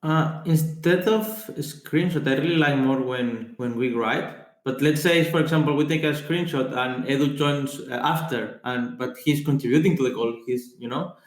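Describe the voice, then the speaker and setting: Male, medium pitch, tentative and easygoing meeting talk. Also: roomy sound. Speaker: working group participant. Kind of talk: casual meeting discussion. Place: online group call.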